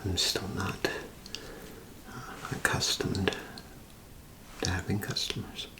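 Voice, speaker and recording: A man doing an ASMR role play as a gentle, hesitant old antique store keeper, speaking haltingly in a half-whispered, creaky voice. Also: deep voice, little pitch movement. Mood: hesitant, courteous. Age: older. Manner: halting, hesitant, repeats himself, polite.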